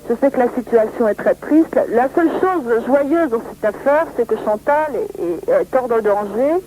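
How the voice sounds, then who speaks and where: A woman's voice: fairly high pitch, animated, moderate pace, mellow. A woman journalist speaking on the phone in an earnest, insistent manner, often repeating herself. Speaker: magazine journalist. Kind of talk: phone conversation. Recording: noisy phone line recording.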